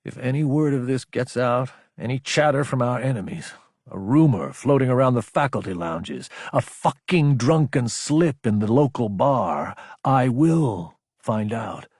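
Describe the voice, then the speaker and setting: A man voicing a stern young prince officer, husky. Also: medium pitch, animated, measured pace, darkish tone, no echo, clean studio sound. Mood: threatening. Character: young male prince, military officer, commanding and blunt.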